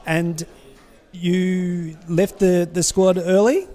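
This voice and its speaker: An Australian man interviews a footballer in a warm, mellow voice that sits slightly high. His questions are brief and direct. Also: little pitch movement, extremely slow. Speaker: interviewer presenter.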